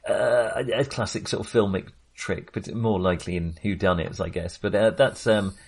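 A man with a fairly low voice, chatting as a co-host on a film podcast. He talks in a casual, hesitant way, feeling his way through his points as he goes. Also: steady tone, moderate pace, gravelly, slightly rough.

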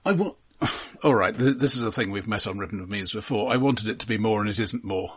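Man, low pitch, husky voice, understated, measured, casual film review podcast.

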